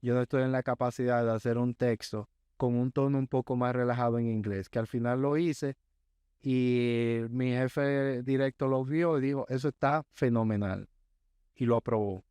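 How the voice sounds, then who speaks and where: Podcast guest guy, smooth clear voice, dry sound, calm steady storytelling, keeps asking "right?". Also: mid-range voice, dry recording. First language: Spanish. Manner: measured storyteller, often checks in with "right?". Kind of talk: casual interview chat.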